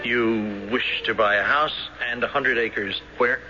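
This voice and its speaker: A man acting in a 1970s radio drama as a timid, soft-hearted banker, hesitant, stammering, polite and formal. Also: medium pitch, steady tone, measured pace, over music, close to an everyday voice. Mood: flustered, polite. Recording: dull, noisy 1970s radio broadcast.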